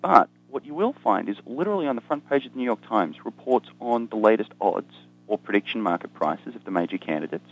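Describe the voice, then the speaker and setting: A man's voice: lowish voice, lively intonation, a little fast, poor audio. An Australian man, an economist guest, explaining ideas in conversation with dry humor and frequent ums. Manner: dry self-deprecating humor, many ums and restarts.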